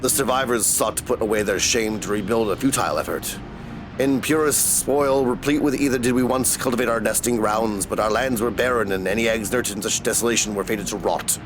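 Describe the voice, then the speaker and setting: A man's voice: fairly low pitch, background noise, natural voice. Man voicing an ancient world-weary dragon, solemn. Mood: grave, resigned. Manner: slow, solemn, archaic storyteller delivery. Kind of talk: dramatic reading of video game dialogue.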